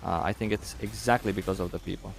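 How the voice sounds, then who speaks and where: A man's voice: low voice, steady tone, fast, creaky, a little hiss or hum. A young man with a Romanian accent chatting casually with viewers on a live game stream, thinking aloud as he answers chat.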